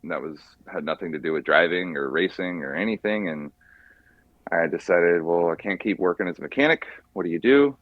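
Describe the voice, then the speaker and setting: A man chats informally as a guest. He tells his story in a halting, rambling way. The sound is dry and slightly dull. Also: sits low, animated, dry recording. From California, USA. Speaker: podcast guest, car mechanic by trade. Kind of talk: casual chat.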